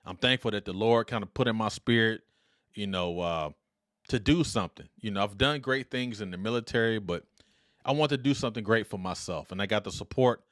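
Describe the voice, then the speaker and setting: A middle-aged man hosting his own show with a big, confident voice. He talks in an upbeat, deliberate way and speaks straight into a close dynamic mic. The room adds nothing, so the sound stays dry and close. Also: lowish voice, no echo. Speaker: podcast host. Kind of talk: solo podcast monologue. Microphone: dynamic studio mic.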